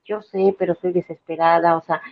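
A woman's voice: lowish voice, level tone, fairly quick, gravelly. A woman in her fifties with a Mexican Spanish accent, giving an earnest, hesitant personal testimony full of fillers and restarts. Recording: tinny phone-like line.

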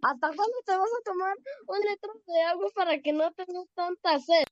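Woman doing a squeaky little puppet friend. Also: normal speed, no room sound, highs cut off. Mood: playful.

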